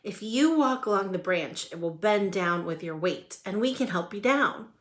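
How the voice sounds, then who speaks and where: A woman voicing a patient, good-humoured male patchwork elephant who gently leads the others in a storybook. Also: mid-range voice, expressive, normal speed, lightly acted. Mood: teasing, kind.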